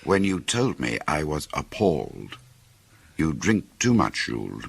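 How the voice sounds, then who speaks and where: An actor playing a detective's concerned, disapproving friend in a radio drama, speaking in a chiding way. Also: male voice, very deep voice, big pitch swings, measured pace, creaky, breathy edge, dry recording, exaggerated voice.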